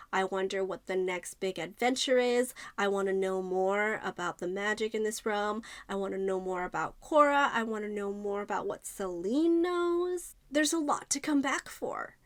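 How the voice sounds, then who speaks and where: A woman's voice: mid-range voice, no echo. A woman giving a casual, conversational book review, thinking aloud and stressing her points as she talks to viewers.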